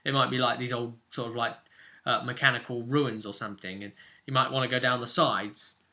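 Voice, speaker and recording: A man's voice: mid-range voice, steady tone, fairly quick, sharp tone. A British man casually recapping a tabletop role-play session as game master, telling it like a story in loose, broken pieces.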